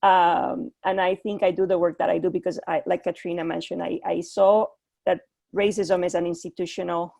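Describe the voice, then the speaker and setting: A woman's voice: fairly low pitch, level tone, moderate pace, creaky, mellow, dry recording. A native Spanish-speaking woman with a Puerto Rican accent, introducing herself a little hesitantly as a panelist on a video call. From Puerto Rico. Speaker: panelist, clinical psychologist.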